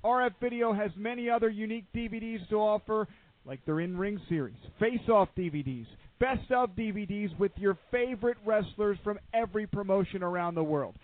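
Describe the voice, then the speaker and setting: Guy doing a dvd ad read, high voice, dry tinny sound. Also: brisk, dry recording. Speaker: ad announcer. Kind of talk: scripted radio commercial read. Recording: dry tinny phone-like audio.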